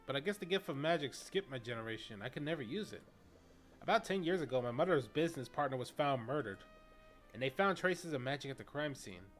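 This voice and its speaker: A man's voice: mid-range voice, lively intonation, fairly quick, faint music behind, close to an everyday voice. A man playing a troubled young man haunted by grief, in a dramatic role-play. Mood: grieving, guarded.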